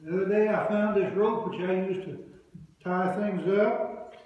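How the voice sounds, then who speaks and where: A man's voice: high-pitched, measured pace. A middle-aged man in costume telling a folksy story from a church stage, chuckling as he talks. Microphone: far room mic. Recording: echoey sanctuary, far, dull.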